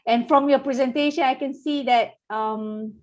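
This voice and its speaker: A woman's voice: high-pitched, normal speed. A woman from Malaysia moderating a webinar, hesitant, with many fillers and restarts. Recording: dull lo-fi call audio.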